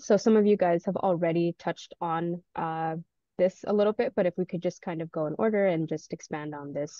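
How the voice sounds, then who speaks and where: A woman's voice: fairly low pitch, warm tone, no echo. A woman facilitating an online student panel, speaking informally and politely with a self-deprecating manner. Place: online video meeting. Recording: dull, muffled online call audio.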